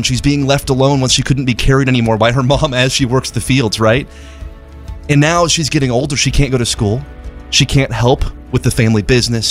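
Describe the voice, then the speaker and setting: Man from Zimbabwe, passionate storyteller, earnest radio appeal, soft music under. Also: medium pitch. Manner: passionate, storytelling, repeats words. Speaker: charity representative on radio.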